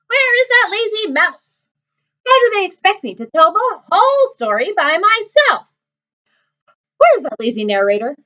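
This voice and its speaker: A woman doing a shrill, indignant little hen. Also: big pitch swings, moderate pace, bright, forceful tone, lo-fi sound, full character voice. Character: fussy hardworking female hen.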